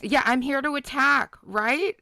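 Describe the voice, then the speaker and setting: A woman's voice: sits high, measured pace, vocal fry, bright, forceful tone, no room sound. A woman hosting a casual livestream, chatting reactively to her viewers as she comments along. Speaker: livestream host reacting to a clip. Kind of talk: casual live chat commentary.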